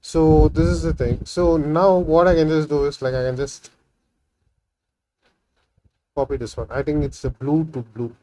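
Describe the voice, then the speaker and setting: A man's voice: a little high, flat delivery, unhurried, pauses often, warm tone, slight background noise, rough recording. Man thinking aloud while coding. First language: Tamil. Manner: thinking aloud, many fillers and "right?" tags. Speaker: programming tutorial host. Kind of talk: casual screen-recorded coding walkthrough.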